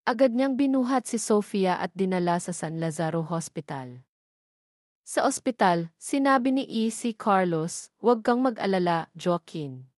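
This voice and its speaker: A woman narrating a scripted love story in a steady, even reading voice. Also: medium pitch, measured pace, no echo, clean studio sound.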